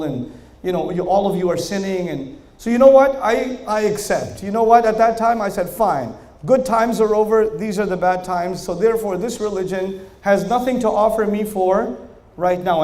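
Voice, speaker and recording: A man with a fairly high voice gives a religious talk to a crowd, speaking in a storytelling way that rises with emphasis on key points. His voice echoes around a large hall. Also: darkish tone. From Pakistani family, raised in Germany, Saudi Arabia and New York. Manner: conversational storytelling, rising emphasis, rhetorical questions. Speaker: Islamic lecturer. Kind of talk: religious lecture to an audience.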